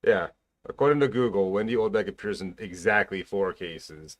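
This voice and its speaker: A man's voice: low voice, normal speed. A man in casual chat among voice actors, teasing and joking. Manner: teasing, jokey. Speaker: fan voice actor.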